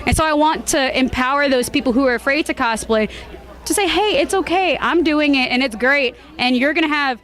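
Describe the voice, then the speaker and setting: A woman with a high voice speaks casually as a guest in an interview. She is expressive and bubbly, talking in an informal, conversational way about her hobby. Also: brisk. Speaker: cosplayer being interviewed.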